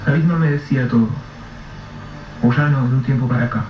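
A man's voice: medium pitch, brisk, close to an everyday voice. A man playing an anxious, evasive colleague who is trying to shield a grieving widow. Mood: guarded. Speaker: supporting character. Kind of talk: radio drama acting. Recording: distant, roomy, dull, noisy radio-play recording.

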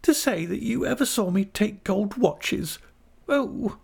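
A man's voice: sits high, expressive, slow pace, creaky, a little mellow, slightly rough, pitched above the performer's own voice, full character voice. A man voicing a childish, tearful young wife in an audiobook, exclaiming in wounded, dramatic reproach. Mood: indignant. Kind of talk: audiobook character voice.

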